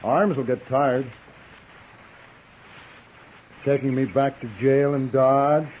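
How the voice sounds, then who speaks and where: An actor playing a cool, callous outlaw killer in a 1950s radio western, unruffled and sardonic. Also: male voice, mid-range voice, fairly even intonation, unhurried, darkish tone, lightly acted. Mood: wry. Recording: dull, noisy vintage radio recording.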